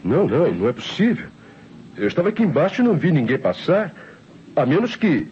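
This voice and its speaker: An actor playing a nervous, defensive laboratory assistant with a Brazilian accent in an old radio drama. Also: male voice, fairly high pitch, huge pitch swings, fairly quick, a little mellow. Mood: agitated. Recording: dull, noisy old radio recording.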